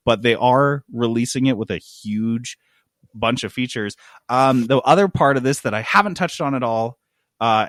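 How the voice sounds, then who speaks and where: A man hosting a casual gaming news discussion, talking in an informal, slangy and animated way. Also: mid-range voice, no room sound, clean studio sound. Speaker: podcast host.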